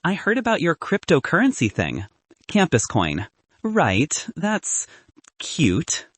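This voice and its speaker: A man's voice: on the high side, huge pitch swings, slow, breathy edge, no room sound, voice pushed up, exaggerated voice. A man voicing a status-conscious young woman, a materialistic ex, cool and probing, in an audiobook story. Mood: condescending, impressed.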